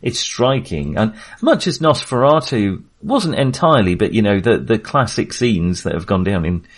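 A man's voice: fairly low pitch, very animated, normal speed. A man talking casually as a film podcast host, in a hesitant, rambling but enthusiastic way.

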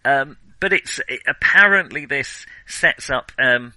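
A man co-hosting a film podcast, chatting casually in a halting, digressive way and often correcting himself. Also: medium pitch, expressive, bright, forceful tone, no room sound, low-quality recording. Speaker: film discussion podcast co-host.

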